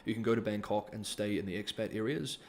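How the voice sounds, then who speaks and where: A man's voice: on the low side, fast, a little mellow, slight background noise. A man, hosting a casual livestream Q&A and reading donor comments, hesitant, with many ums and restarts. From New Zealand. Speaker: livestream host.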